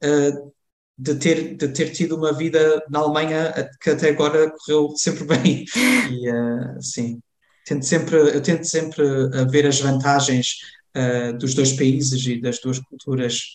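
A man's voice: a little high, level tone, measured pace, darkish tone. A young man from Germany, a guest in a casual conversation, speaking hesitantly with many fillers and restarts. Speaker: interview guest. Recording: roomy echo.

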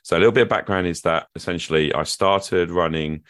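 A man's voice: sits low, fairly quick, fairly bright tone, clean tone, dry recording. A British man hosting a podcast, rambling and self-deprecating with many fillers, getting over a cold. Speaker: podcast host. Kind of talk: casual podcast chat.

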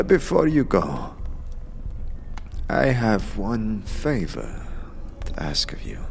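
A man voicing a menacing, weary female gang leader in an audio drama, with slow, portentous phrasing and a gravelly, breathy voice. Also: low voice, slight background noise, exaggerated voice. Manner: slow, drawn-out, portentous, sighing.

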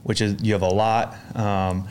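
Smooth low-voiced podcast host. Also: male voice, level tone, a little slow, darkish tone. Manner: upbeat, friendly, rambling questions with "um" and "uh". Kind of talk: casual interview conversation.

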